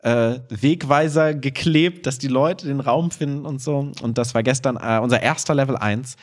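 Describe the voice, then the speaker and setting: A man's voice: mid-range voice, moderate pace. A man co-hosting a podcast in casual conversation, an improv teacher with a playful, joking way of talking. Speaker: podcast co-host.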